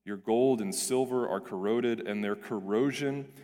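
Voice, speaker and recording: A male pastor with a hoarse, deep, raspy voice, praying and then preaching earnestly, often restarting and repeating words. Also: measured pace. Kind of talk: prayer and sermon. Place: church sanctuary. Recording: reverberant church hall with soft music.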